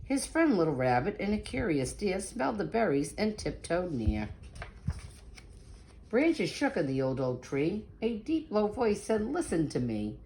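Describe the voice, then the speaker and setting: A woman's voice: medium pitch, sing-song, a little slow, mellow, slight background noise, poor audio. A woman narrating a children's picture book in a dramatic, sing-song read-aloud style.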